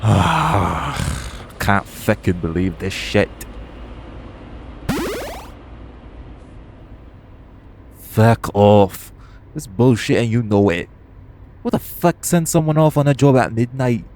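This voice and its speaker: A man's voice: fairly low pitch, expressive, unhurried, darkish tone. A man playing a brash, foul-mouthed, cocky assassin in an audio roleplay. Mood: irritated. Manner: brash, sweary. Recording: clear vehicle noise behind voice. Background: vehicle ride ambience.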